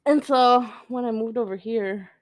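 A woman's voice: a little high, dry recording. A young woman co-hosting a casual couple's podcast, telling stories candidly. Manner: candid, storytelling, quick laughs. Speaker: podcast co-host.

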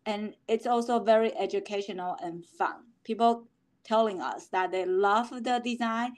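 Middle-aged lady giving interview answers, lots of uhs and restarts, mid pitch. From Taiwan. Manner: halting, with many fillers and restarts. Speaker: company founder, guest.

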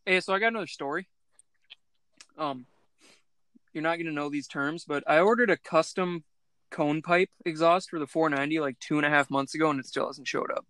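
A man of twenty tells casual anecdotes as a podcast co-host in informal slang. His voice is a bit high, he talks quickly, and the sound is dry and close. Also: pushed and bright, smooth, no room sound.

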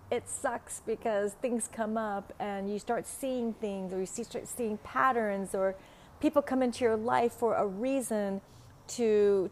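A middle-aged woman with a Vietnamese accent speaks slowly and haltingly as she hosts a spiritual podcast, often pausing on fillers and soft laughs. Her voice sits at an ordinary middle pitch. Faint city traffic drifts in through an open window behind her. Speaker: podcast host, spiritual teacher. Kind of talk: casual spoken podcast monologue.

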